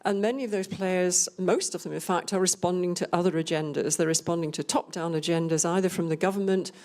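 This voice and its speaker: Lower-pitched older woman speaking on a panel. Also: steady tone, a little fast, darkish tone. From Scotland. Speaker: panel speaker on rural communities. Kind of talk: unscripted panel presentation.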